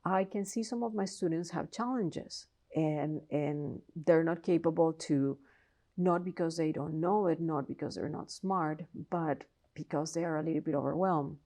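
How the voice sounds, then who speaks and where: A woman's voice: low voice, normal speed, warm tone. A woman from Colombia with a Colombian Spanish accent, a professor answering interview questions in an earnest, explanatory way with frequent restarts. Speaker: professor and researcher, interview guest.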